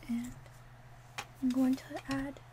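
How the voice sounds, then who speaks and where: A woman speaking in a hushed, gentle way, narrating an ASMR roleplay step by step. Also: high voice, little pitch movement, takes it slowly, a little hiss or hum. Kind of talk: whispered ASMR roleplay demonstration.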